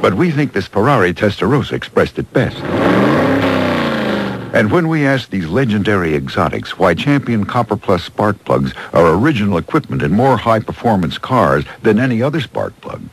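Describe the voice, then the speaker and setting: Low-voiced male ad announcer, loud background. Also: heavy background noise. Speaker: commercial announcer. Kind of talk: scripted radio ad narration. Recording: home-taped radio ad over loud car sounds. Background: loud car engines.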